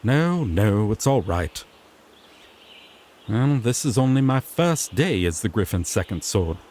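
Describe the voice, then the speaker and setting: A man's voice: lowish voice, very animated, takes it slowly, a little mellow. A man playing a sassy, exasperated griffin knight in a fantasy audio roleplay, pompous one moment and sardonically grumbling the next. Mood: disappointed, grumbling. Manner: pompous proclamations slipping into flustered, sardonic grumbling.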